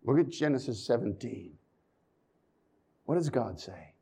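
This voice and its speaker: A man preaching a sermon, moving between loud emphasis and quiet asides, reading scripture in old-fashioned phrasing and adding folksy remarks to the congregation. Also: medium pitch, animated, measured pace, creaky, breathy edge.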